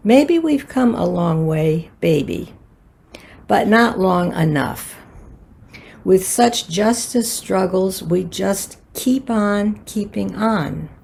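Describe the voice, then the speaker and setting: An older American woman reading a prepared personal reflection for a worship service in a measured, earnest manner. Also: mid-range voice, vocal fry, mellow, breathy edge. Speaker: worship associate.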